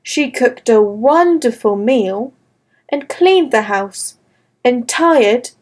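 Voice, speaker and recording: A woman narrates a classic fairy tale for children in a slow, careful, gentle reading. Her voice sits high and the pace stays unhurried throughout. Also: lively intonation. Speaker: storyteller. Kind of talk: scripted children's story narration.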